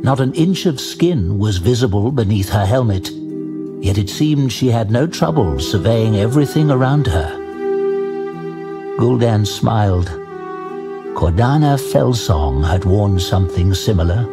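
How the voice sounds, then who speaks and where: A man narrating a fantasy audio drama in a measured, deliberate, suspenseful storytelling style. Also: on the low side, lively intonation. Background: music.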